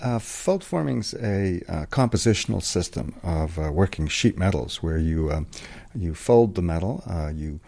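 Man, middle-aged, from England, deep low voice, hesitant, many fillers, interview guest. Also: expressive, moderate pace, gravelly, dark tone. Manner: thoughtful, hesitant, many ums and uhs. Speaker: guest metalsmith. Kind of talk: radio interview answers.

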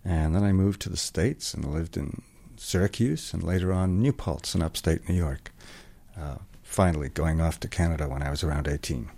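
A man's voice: deep voice, normal speed, vocal fry, mellow. A middle-aged man from England answering a radio interview as a guest metalsmith, thoughtful and hesitant, with many ums and uhs.

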